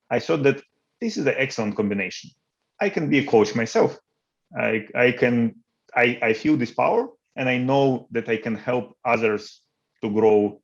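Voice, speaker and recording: A man's voice: mid-range voice, vocal fry, lo-fi sound. A man in his forties with a Ukrainian accent, answering interview questions in an earnest way and often repeating words as he looks for the next one. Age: 40s. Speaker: interviewee, coach. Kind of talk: interview over video call.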